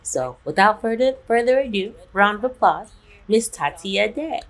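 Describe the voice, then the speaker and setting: A woman's voice: medium pitch, expressive, normal speed. A woman hosting a business webinar, introducing her guest with chatty enthusiasm. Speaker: webinar host.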